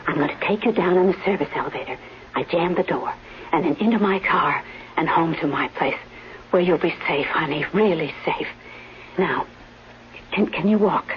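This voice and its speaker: An actress playing a protective, motherly old nurse, speaking in a hushed, coaxing voice in a 1970s radio drama. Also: sits low, moderate pace, natural voice. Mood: hushed, urgent. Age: older. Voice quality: hushed, partly whispered. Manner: coaxing, endearing. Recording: dull, very noisy old radio recording.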